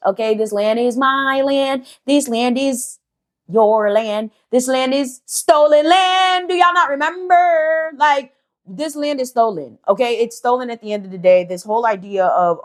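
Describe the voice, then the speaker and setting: A young American woman giving blunt, heated, profane commentary to camera, with lots of rhetorical questions. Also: a little high. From United States. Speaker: vlogger.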